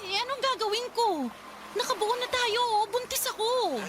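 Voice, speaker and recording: A woman's voice: extremely high pitch, moderate pace. A woman acting a hurt, indignant, pregnant young lover in an emotional Tagalog radio drama. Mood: hurt, pleading. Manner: emotional, pleading. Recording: clearly noisy background ambience.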